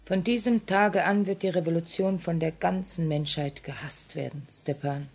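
An actress playing a compassionate, quietly firm woman revolutionary, grave and earnest, in a 1950 radio play. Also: lowish voice, normal speed, slightly rough. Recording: vintage 1950 radio recording.

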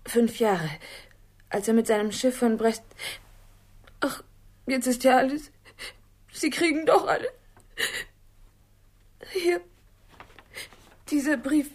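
A scared shy waitress, highish husky voice, talks slow, old radio play. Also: female voice, fairly even intonation, heavily acted. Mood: distressed. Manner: timid, hesitant, brief answers. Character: anxious, submissive young small-town waitress. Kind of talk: 1960s German radio drama acting.

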